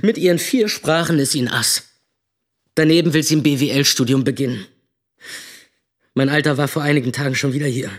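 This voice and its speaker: An actor playing a disillusioned, defiant young man confiding in a clipped, colloquial radio drama monologue, with a husky, breathy voice. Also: fairly high pitch, a little fast, pauses often, natural voice. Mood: sullen, resigned. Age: young adult.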